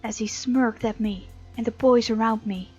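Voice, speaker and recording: A woman narrates a visual novel as a gameplay host, expressive and playful, breaking into casual commentary. Her voice sits on the high side. The sound is a little lo-fi, with music underneath. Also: normal speed, breathy edge. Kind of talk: story narration with playful let's play commentary. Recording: dull, lo-fi sound with music behind.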